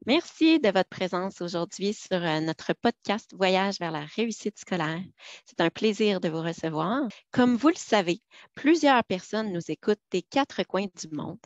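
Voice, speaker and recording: A woman's voice: mid-range voice, expressive, measured pace, dry recording. Woman hosting, dry dull sound. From French Canada. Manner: friendly, asks questions with frequent fillers. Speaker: podcast host and learning specialist. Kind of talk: conversational interview.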